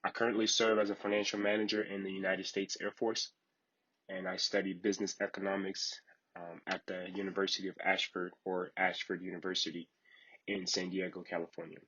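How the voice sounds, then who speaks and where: A man's voice: deep voice, completely flat delivery, brisk, fairly bright tone, slightly rough, highs cut off. A man from Liberia giving an online training lecture in a polite, formal, instructional way.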